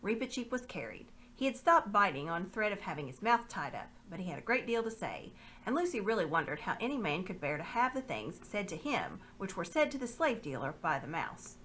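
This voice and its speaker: A woman reading a children's novel aloud in a lively, expressive way. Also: mid-range voice, a little fast, slight background noise. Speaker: reader.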